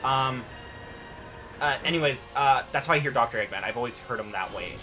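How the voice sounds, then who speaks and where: A young man talks quickly in an average-pitched voice, rambling and opinionated. It is casual co-host chat for a let's play, with game music clearly audible underneath. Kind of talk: casual chat over gameplay. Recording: game music behind the talk. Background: video game cutscene music.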